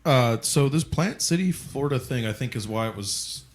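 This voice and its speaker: A man's voice: mid-range voice, expressive, moderate pace. A man co-hosting a car podcast, teasing and ribbing the others. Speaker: podcast co-host. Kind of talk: casual banter.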